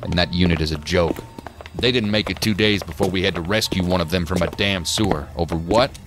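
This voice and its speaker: An actor playing a bitter, sardonic federal agent in an audio drama, gruff and sarcastic. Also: male voice, deep voice, brisk, noisy background, lightly acted. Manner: gruff, sarcastic, clipped orders. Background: music.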